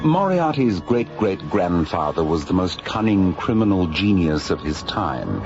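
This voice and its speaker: An actor playing a shrewd, self-assured consulting detective in a radio drama, deliberate and a little pompous. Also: male voice, sits low, lo-fi sound, exaggerated voice. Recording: band-limited old radio recording over music.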